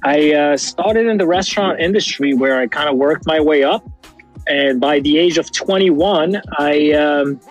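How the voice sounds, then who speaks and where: Male interview guest from Morocco, French first language. Slightly raised pitch, halting storyteller, faint music underneath. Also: low-quality recording. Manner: halting, pauses mid-phrase. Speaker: interview guest, wine brand owner.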